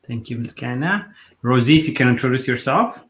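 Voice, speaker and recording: A man hosting a live online talk show, speaking earnestly with halting phrases and fillers. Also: medium pitch, expressive, fairly bright tone. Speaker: host.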